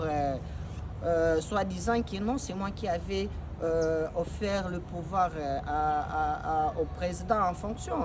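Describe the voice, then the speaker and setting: A man's voice: high voice, background noise. A young man from Congo giving an impassioned political address, emphatic and repetitive, with frequent hesitations. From Democratic Republic of the Congo. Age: young adult. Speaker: activist giving a video message.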